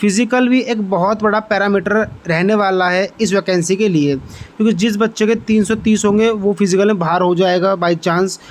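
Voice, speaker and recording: High-pitched man giving an informational update. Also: normal speed. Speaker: exam-update channel host. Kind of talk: informational exam update narration.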